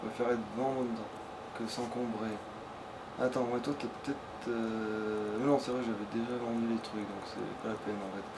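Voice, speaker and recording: Guy doing lets play commentary, middle pitch, slangy and sweary, noisy recording. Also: little pitch movement, normal speed. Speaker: let's player. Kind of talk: casual gameplay commentary, chatting with a partner. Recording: clearly noisy home recording.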